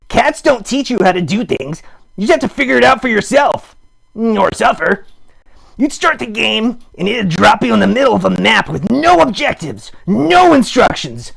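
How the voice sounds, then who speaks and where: A man voicing a chatty, goofy puppet who hosts a live show, rambling playfully in a raspy, croaky voice. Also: sits high, heavily acted. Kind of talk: improvised comic rambling to live chat.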